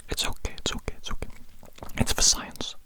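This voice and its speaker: A performer voicing an eager, odd alien doctor who poses as a human man of science, in a slow breathy ASMR whisper, repeating phrases in stilted, formal wording. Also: close to an everyday voice. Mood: soothing, reassuring.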